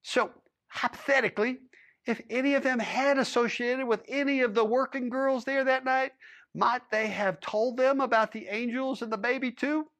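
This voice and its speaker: A man's voice: very high-pitched, steady tone, moderate pace, a bit bright, voice pushed up. A man voicing a fussy, prying male town official who questions people indignantly.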